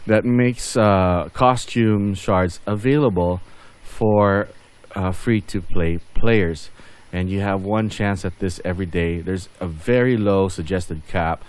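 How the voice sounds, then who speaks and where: Low-voiced man casually narrating gameplay. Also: darkish tone, clear, resonant tone. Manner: rambling, thinking aloud. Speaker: gaming video host.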